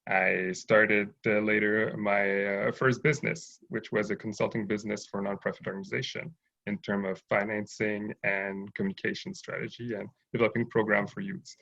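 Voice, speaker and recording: Gravelly low-voiced man, lo-fi call audio. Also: flat delivery, moderate pace, fairly bright tone. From Haiti, raised in Montreal. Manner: thoughtful, hesitant with many fillers. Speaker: guest, urban farm owner. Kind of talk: interview over video call.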